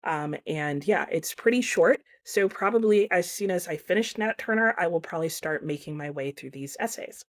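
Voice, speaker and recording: Casting: female book reviewer, lowish pitch, informal and conversational, talking straight to viewers. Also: expressive, moderate pace, few pauses. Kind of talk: casual chatty book review to camera.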